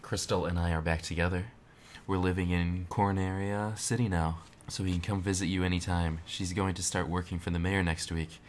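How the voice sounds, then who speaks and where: A man playing a soft-spoken fox pilot, deep-voiced. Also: level tone, fairly quick, slight background noise, close to an everyday voice. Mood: earnest. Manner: soft-spoken, hesitant, stammers. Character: young adult male fox ex-pilot, earnest, guilt-ridden. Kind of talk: fan audio drama reading.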